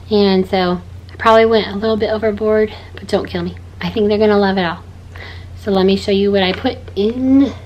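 A woman in her thirties with a hoarse voice, chatting casually to camera about her kids' stocking gifts in a log-home bedroom. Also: medium pitch, a little hiss or hum, low-quality recording. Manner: chatty, upbeat, showing items. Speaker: vlogger.

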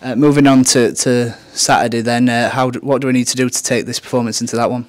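Male sports interviewer, medium pitch, asking conversational post-match questions for a football club. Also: flat delivery, quick pace. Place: indoors, off camera.

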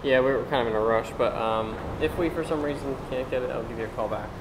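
A man's voice: medium pitch, fast talker, background noise. A man bantering in a laid-back, laughing way as a sidekick on a vlog. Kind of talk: casual banter.

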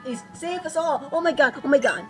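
A woman's voice: high-pitched, animated, fairly quick, soft background music, voice pushed up. A woman voicing a panicky, pleading young video game character in a frantic, squealing, melodramatic way.

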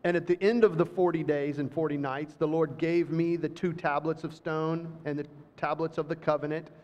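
A middle-aged man preaching to a congregation, reading scripture slowly and then talking loosely with fillers and restarts. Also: on the high side, level tone, a little mellow. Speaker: pastor. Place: church hall with audience. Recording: dull, reverberant hall recording.

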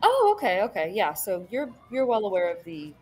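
A woman's voice: mid-range voice, wildly swooping pitch, fairly quick, darkish tone, husky, soft background music. A woman in her thirties chatting casually on a video call from home, laughing easily, with many ums. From born in California, raised in Virginia. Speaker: panel guest. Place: home room indoors. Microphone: webcam on a video call.

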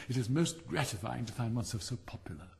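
A man's voice: mid-range voice, lively intonation, brisk, vocal fry, a little mellow. A man reading aloud and voicing a boastful, endlessly chatty mother frog who gushes and laughs as she talks. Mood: gushing. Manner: gushing, chattering, laughing between phrases.

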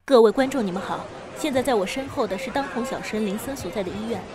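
Female field reporter played by an actress, brisk newscast delivery, highish voice, noisy crowd. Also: lightly acted. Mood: urgent, formal. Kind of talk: news report in audio drama. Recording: loud crowd behind the voice.

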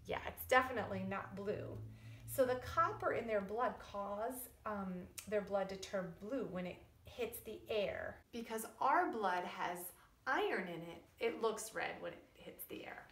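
A middle-aged woman, a preschool teacher, explaining and reading aloud to young children in a deliberate, emphatic, teacherly way. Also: medium pitch, lively intonation, fairly bright tone. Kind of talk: children's science lesson and read-aloud story. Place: indoors in a wood-panelled room at home or school. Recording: echoey room.

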